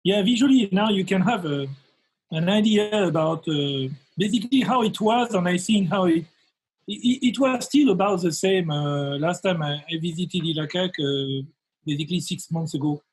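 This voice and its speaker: A man's voice: sits high, moderate pace, husky, rough recording. A man with a French accent hosting a webinar, speaking hesitantly with frequent fillers. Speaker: host. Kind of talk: webinar conversation.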